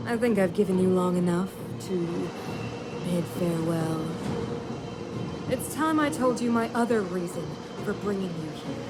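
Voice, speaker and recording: Woman acting slow, imperious zealot queen over loud music. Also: lowish voice, close to an everyday voice. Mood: solemn, commanding. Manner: grandiose, deliberate, solemn oratory. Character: imperious, fanatical ruling mother, a villainous zealot. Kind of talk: theatrical video game cutscene acting.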